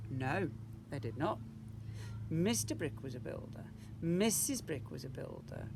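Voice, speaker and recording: A man tells a picture-book story in the manner of an infant teacher. His pitch swoops widely and key words are stressed. There is faint noise in the background. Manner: emphatic, with rhetorical questions and asides. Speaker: teacher reading to young children.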